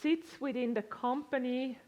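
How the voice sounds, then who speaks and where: A woman's voice: high voice, measured pace, a little mellow. A woman with a Swiss-tinged accent gives a conference presentation to a live audience in a lecture room, talking conversationally with frequent "uh"s and restarts. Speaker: conference speaker.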